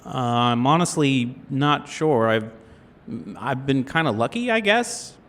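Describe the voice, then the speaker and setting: A man playing an earnest, sheltered young acting student in an improvised audio role-play, stammering, halting and apologetic. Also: mid-range voice, big pitch swings, fairly bright tone. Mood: unsure, sheepish. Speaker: role-playing game player.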